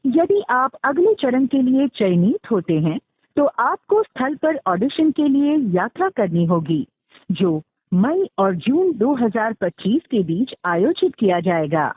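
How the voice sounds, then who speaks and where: A woman's automated phone menu voice reading scripted prompts in a formal, measured, instructional manner. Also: on the high side, sing-song, slightly rough. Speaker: automated phone line announcer. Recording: muffled, phone-like, roomy call playback. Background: phone call recording played back.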